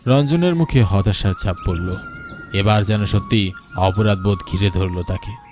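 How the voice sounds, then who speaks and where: A man's voice: on the low side, moderate pace. A man narrating a scripted folk tale as a storyteller. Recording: music behind the voice.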